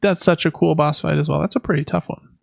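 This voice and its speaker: A man with a slightly higher-pitched voice and a creaky edge chats casually as a podcast host. He rambles and backtracks mid-sentence. The sound is dry and somewhat dull. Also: fairly even intonation, fast talker, dry recording. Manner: rambling, self-correcting. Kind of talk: casual chat about video and board games.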